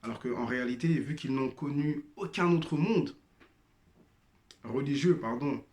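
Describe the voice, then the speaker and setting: A man's voice: medium pitch, unhurried, darkish tone. Man talking in an echoey room. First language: not Arabic-speaking. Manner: hesitant, many fillers and restarts; addresses listeners as brothers and sisters. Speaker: former member sharing testimony. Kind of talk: personal testimony monologue.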